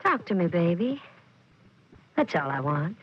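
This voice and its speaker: A jealous, pleading lover. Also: female voice, fairly low pitch, big pitch swings, unhurried, slight background noise. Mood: coaxing. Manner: coaxing, insistent, sultry. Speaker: singer and actress. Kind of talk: 1940s radio drama acting. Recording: dull old radio broadcast. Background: music.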